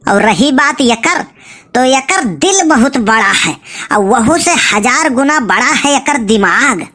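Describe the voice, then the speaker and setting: A woman plays a cartoon village matchmaker with a very high, sharp voice and an Awadhi accent. The figure is cheeky and stubborn, always coaxing and pressing in colloquial comic banter. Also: lively intonation, takes it slowly, full character voice. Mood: persuading.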